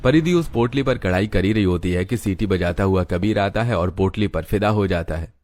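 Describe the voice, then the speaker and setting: A man's voice: sits low, fairly quick, a little mellow. A man narrating a scripted cartoon story as its narrator.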